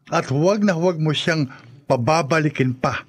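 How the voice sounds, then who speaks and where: An actor playing a calm, unbending husband who refuses to help his wife, in a radio drama. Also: a little high, normal speed, slight background noise, close to an everyday voice. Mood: cold. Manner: calm and dismissive.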